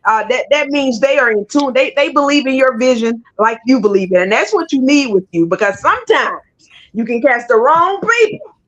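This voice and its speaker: A woman's voice: sits high, animated, a little fast, a bit bright, dull audio. A woman hosting a casual livestream interview, talking with folksy, sassy banter. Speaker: show host interviewing guests.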